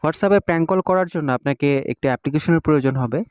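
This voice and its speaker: A young man hosting a screen-recorded phone app tutorial, talking slowly and hesitantly with drawn-out fillers. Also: a little high, darkish tone, smooth, dry recording, clean studio sound. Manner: hesitant, many drawn-out fillers while clicking. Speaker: tech tutorial host.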